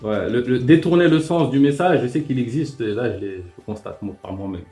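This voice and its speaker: A man hosting a calm live-stream debate, reasoning aloud in a hesitant way with many fillers and restarts. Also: medium pitch, brisk, faint music behind. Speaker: host. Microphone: remote live-stream call.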